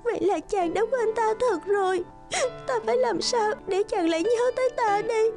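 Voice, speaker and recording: A woman's voice: very high voice, normal speed, darkish tone, faint music behind. A woman voicing a sweet, timid young peasant woman in a fairy-tale cartoon, earnest and polite. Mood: tearful.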